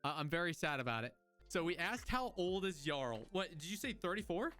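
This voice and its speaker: Joking twenty-something podcast host, slightly high voice. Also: male voice, big pitch swings, fairly quick, sharp tone, faint music behind. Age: 20s. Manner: joking, rambling, restarts often. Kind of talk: casual chat.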